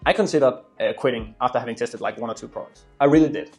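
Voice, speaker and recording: A man's voice: mid-range voice, fast, creaky, soft background music. A man in his twenties with a Danish accent and native Danish, telling his story casually to viewers in an earnest, emphatic way, repeating words for stress. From Denmark. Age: 20s. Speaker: YouTuber and e-commerce entrepreneur. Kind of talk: casual storytelling talk to camera.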